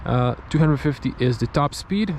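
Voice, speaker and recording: Croatian-accented man with a creaky voice. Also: fairly high pitch, lively intonation, normal speed, a little mellow. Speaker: car reviewer. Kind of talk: casual point-of-view car review commentary. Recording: clear vehicle and ambient noise. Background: car and ambient noise.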